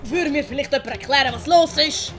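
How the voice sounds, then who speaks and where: A woman's voice: sits high, a little fast, over music, close to an everyday voice. A woman playing a naive, theatrical young male servant in a comedic audio play. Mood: puzzled.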